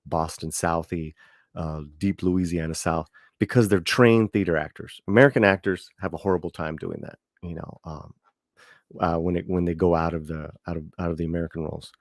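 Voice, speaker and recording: Deep-voiced rambling man, dry sound. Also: fairly even intonation, brisk, dry recording. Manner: rambling, many restarts, emphatic. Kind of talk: casual chat.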